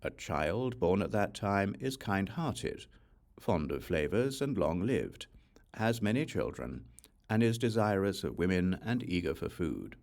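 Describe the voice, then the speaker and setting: Male audiobook reader for scripture: low register, highly varied intonation, solemn measured phrasing. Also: very animated, gravelly, a little mellow. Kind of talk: scripted reading of an ancient Buddhist text.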